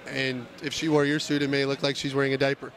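A man's voice: medium pitch, monotone, fast, crowd noise, low-quality recording. A young man interviewing a guest at a busy expo on a handheld mic, teasing and laughing as he asks questions. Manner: teasing, chatty, laughs often. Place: busy expo hall, indoors.

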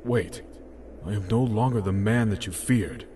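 Man acting a very deep repentant dark lord. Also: unhurried, a little hiss or hum, close to an everyday voice. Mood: pleading. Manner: grave, formal, earnest. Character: repentant dark lord, solemn pleading father's ghost. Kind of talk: audio drama acting. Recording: music loud behind the voice.

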